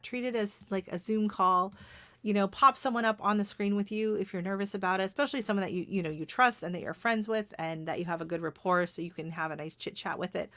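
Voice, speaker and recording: A middle-aged Canadian woman hosting a casual livestream chat, laughing often and greeting viewers by name, her voice starting to go hoarse. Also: medium pitch, a bit bright, dry recording. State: voice going hoarse. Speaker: gardening channel host.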